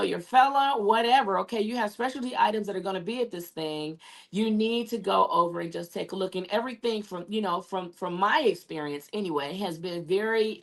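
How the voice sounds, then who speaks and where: Hoarse raspy-voiced woman hosting a show. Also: lowish voice. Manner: chatty, repeats herself, many fillers. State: hoarse, losing her voice. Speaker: talk show host. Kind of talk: casual live show sign-off.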